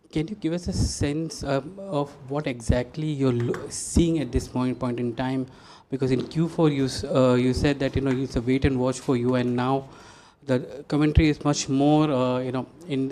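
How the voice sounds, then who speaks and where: A mellow-voiced man with an Indian accent asks long, roundabout questions as a business reporter. There is some faint room noise behind him. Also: medium pitch. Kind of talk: asking questions at an earnings briefing. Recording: background noise and room ambience.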